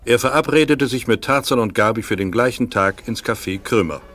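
A man's voice: mid-range voice, brisk, fairly bright tone, soft background music, lightly acted. A man narrating a children's audio drama in a scripted storytelling style.

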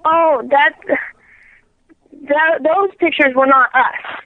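A woman in a casual phone conversation, speaking in a hesitant, halting way. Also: high-pitched, steady tone, no room sound. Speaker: prank call recipient. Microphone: telephone. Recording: thin, narrowband phone line.

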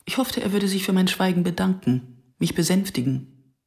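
A woman's voice: lowish voice, normal speed, vocal fry, darkish tone. An actress playing a passionate, argumentative woman who tells her own story in a German radio drama, sardonic and insistent. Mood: reflective narration.

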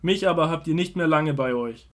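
A male actor plays an earnest prophet and teacher in a Bible radio play. His voice sits somewhat high for a man. He makes solemn, measured declarations. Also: natural voice. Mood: firm, calm. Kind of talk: audio drama Bible dialogue.

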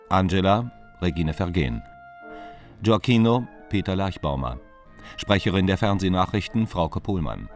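A man with a very low voice delivers formal scripted credits. His reading is measured and list-like, with clear brass music behind him. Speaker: closing credits announcer. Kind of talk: formal scripted broadcast announcement.

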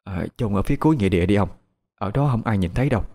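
A man voicing a distraught middle-aged mother who begs in a high, wailing voice. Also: lively intonation, very fast, dark tone. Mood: hushed agreeing.

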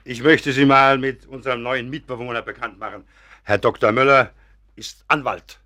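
An actor playing a genial professor in a German radio play, courtly and effusive, smoothing things over. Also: male voice, medium pitch, fairly even intonation, moderate pace, pushed and bright, natural voice. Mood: courteous. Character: genial, diplomatic professor showing a newcomer round.

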